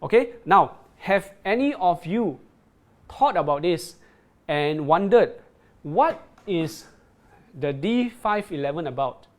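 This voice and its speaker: A man's voice: high voice, animated, slow pace. A man presenting a product on a livestream, demonstrating it and reading viewer comments, often repeating words and checking in with "right?".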